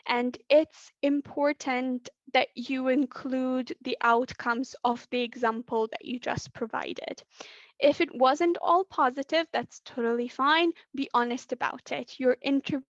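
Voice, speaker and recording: A woman giving an online training session, losing her voice, speaking deliberately and drawing out key words. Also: high-pitched, no echo, muffled recording. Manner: deliberate, drawn-out letter by letter. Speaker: webinar presenter. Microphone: computer mic on a video call.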